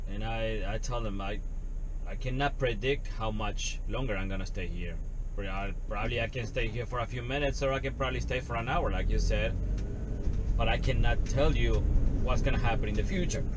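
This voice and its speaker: Man telling a story in a noisy van. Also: mid-range voice. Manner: candid, conversational retelling with non-native phrasing. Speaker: HVAC technician. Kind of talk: casual storytelling vlog. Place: parked work van, indoors. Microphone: dash camera, headset on. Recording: loud road and engine noise. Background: vehicle and outdoor ambience.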